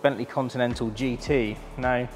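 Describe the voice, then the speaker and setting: A man's voice: medium pitch, steady tone, normal speed, faint music behind. A man, chatty and enthusiastic, presenting a car auction preview in a large warehouse showroom. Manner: enthusiastic, chatty, filled with ums.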